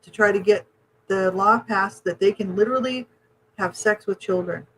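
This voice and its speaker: A woman's voice: medium pitch, normal speed, poor audio. Woman podcast host, mid-range voice, chatty and indignant, faint background noise. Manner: indignant, chatty, affirms often. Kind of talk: podcast conversation.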